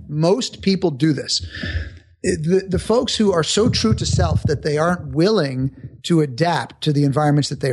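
A man from the Bronx with a somewhat high voice talks through his ideas as a podcast guest. He is reflective and builds his points step by step in lists. His delivery is conversational and unhurried. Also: breathy edge. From the Bronx, New York. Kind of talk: conversational explaining.

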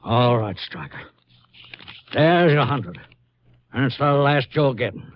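A man's voice: medium pitch, lively intonation, slow. An actor playing a desperate, defiant man in a 1950s radio melodrama, speaking with righteous, emphatic passion. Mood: defiant, indignant. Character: desperate, defiant male car dealer being blackmailed. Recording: dull old radio recording.

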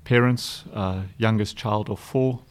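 A man's voice: fairly low pitch, unhurried, clean tone. A man with a South African accent, a charity director telling stories in an interview, with many ums and restarts. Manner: warm anecdotal, frequent ums and restarts. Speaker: guest, charity executive director. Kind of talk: relaxed storytelling interview.

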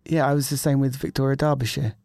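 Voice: medium pitch, flat delivery, fast, creaky, darkish tone, dry recording. Podcast host, chatty and giggly, stammers into questions, dry close sound. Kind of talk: casual chat. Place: podcast room.

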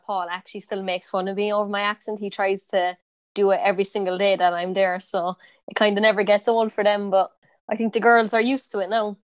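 Woman with a strong Cork accent, clear and dry. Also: medium pitch, dry recording. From Ireland. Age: 20s. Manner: relaxed, many fillers and restarts. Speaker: interviewee, footballer. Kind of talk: casual interview.

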